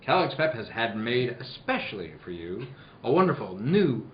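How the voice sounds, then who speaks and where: A man's voice: medium pitch, sing-song, moderate pace. Echoey man hosting and announcing. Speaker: host and announcer. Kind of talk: casual hosting and hammy 1940s radio ad reading. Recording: echoey room, muffled, noticeable noise.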